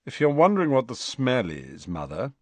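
A man's voice: mid-range voice, wildly swooping pitch, normal speed, a little mellow, dry recording, voice pushed up. A man voicing an arrogant, sneering teenage boy. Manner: sneering and contemptuous.

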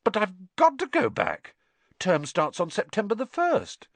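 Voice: medium pitch, huge pitch swings, normal speed, husky, dry recording. A performer voicing an earnest, anxious young schoolboy in a storybook, urgent and a little hesitant. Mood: upset, protesting. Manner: urgent, hesitant, stammering when upset.

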